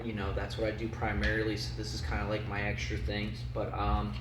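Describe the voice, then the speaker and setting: Casual male musician guest, halting and filler-heavy, mic set back in an echoey room with steady background noise. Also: on the low side. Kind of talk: casual interview chat.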